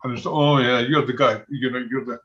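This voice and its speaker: Halting older Scottish man, dull audio. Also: mid-range voice, fairly even intonation, a bit bright. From Scotland. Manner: halting, conversational, chuckles often. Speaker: military historian and former soldier. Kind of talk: informal talk on military history.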